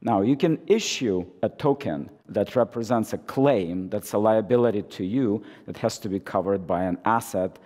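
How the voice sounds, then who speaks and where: A hoarse man who is losing his voice gives an academic conference talk, halting with many fillers and restarts, amplified through a microphone. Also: lowish voice, warm tone. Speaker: conference speaker. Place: lecture hall. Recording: reverberant lecture hall.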